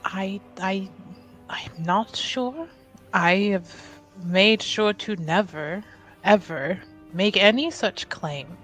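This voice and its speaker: A woman voicing a guarded young noblewoman in a tabletop game, evasive and halting, with formal wording. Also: medium pitch, a bit bright. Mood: careful, uneasy.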